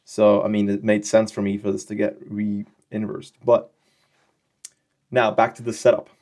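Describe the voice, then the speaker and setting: A young man with a slightly low voice teaches trading in a relaxed, conversational way. He explains loosely and keeps checking in with his listener. He drops slangy, joking asides as he goes. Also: a little slow. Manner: loose, chatty explaining with frequent check-in questions. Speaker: trading educator. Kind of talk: casual screen-share trading lesson.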